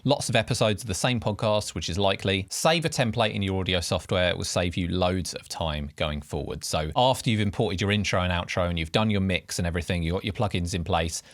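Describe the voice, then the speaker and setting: A man's voice: deep voice, fairly quick, hardly any pauses, no echo. A man presenting scripted tutorial narration in an informal, explanatory way, giving stress to key headings. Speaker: presenter of podcast production tips.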